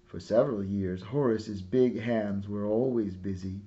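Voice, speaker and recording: A man reading a children's picture book aloud expressively, with dramatic pauses and hushed phrase endings. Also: fairly low pitch, muffled recording. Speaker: storybook reader. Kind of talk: scripted narration, picture book read-aloud.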